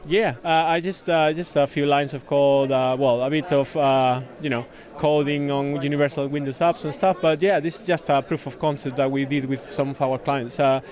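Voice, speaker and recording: A man from Spain with a Spanish accent, a native Spanish speaker, explaining a product in a casual interview with halting, repeated words. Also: a little high, fairly even intonation, darkish tone. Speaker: software consultant demonstrating a product, interviewee. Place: busy conference venue.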